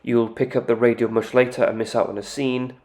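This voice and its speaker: A man hosting a video game channel, giving calm game analysis commentary with occasional "uh" fillers. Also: mid-range voice, brisk. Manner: calm explanatory delivery with "uh" fillers.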